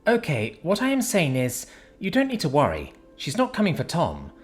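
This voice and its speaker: A man's voice: a little high, huge pitch swings, moderate pace, faint music behind, pitched above the performer's own voice. A man voicing a brash young woman who teases her friend and talks bluntly. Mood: teasing. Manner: chatty, mocking, blunt.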